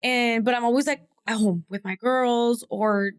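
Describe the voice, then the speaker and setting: Woman, young adult, slightly raspy, fairly high voice, podcast co-host, easygoing and supportive. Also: fairly even intonation, moderate pace. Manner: supportive, lots of agreeing backchannel. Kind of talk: casual chat.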